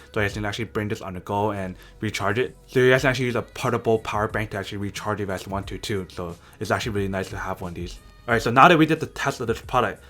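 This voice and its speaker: Fast-talking man reviewing a gadget. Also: fairly low pitch, fairly bright tone. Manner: chatty, keeps addressing viewers as "you guys". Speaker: product reviewer. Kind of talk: casual unboxing and review talk.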